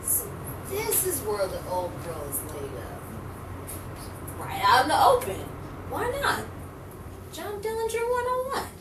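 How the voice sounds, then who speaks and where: A woman's voice: sits high, sing-song, unhurried, pauses often. A woman playing a plucky, wry 1940s newspaper reporter in a noir radio play, with theatrical, musing delivery. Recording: echoey, distant, noisy room recording.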